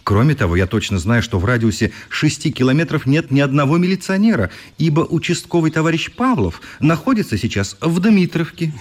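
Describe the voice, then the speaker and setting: A man's voice: a little high, big pitch swings, fairly quick, few pauses. An actor playing a politely defiant, ironic male newspaper correspondent in a radio play, speaking in courteous, articulate phrases. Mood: wry, polite. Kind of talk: radio drama acting.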